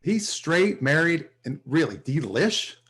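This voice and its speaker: A lively, swooping male voice, fairly high, as a hapless sitcom salesman played by an actor. He is wry and a bit self-important, with hesitant, wheedling turns. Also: slow pace, heavily acted. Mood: dry, sarcastic. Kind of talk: sitcom table read. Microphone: video call.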